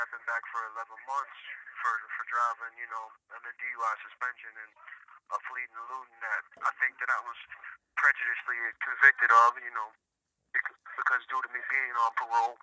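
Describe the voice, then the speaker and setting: An American man speaking over a telephone, giving an earnest conversational account peppered with "you know". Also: medium pitch. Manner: repeatedly says "you know". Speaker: prison inmate being interviewed by phone. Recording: sharp prison phone line.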